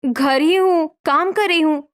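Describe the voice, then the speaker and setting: A woman's voice: high-pitched, a little slow, fairly bright tone. A woman voicing an anxious, apologetic young daughter-in-law in a cartoon story, earnest and pleading. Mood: evasive.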